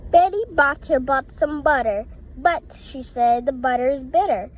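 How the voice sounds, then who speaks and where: A woman reading playful rhymes and tongue twisters aloud in a halting way, often stumbling over words. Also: high-pitched, slight background noise. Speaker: reader of children's poems.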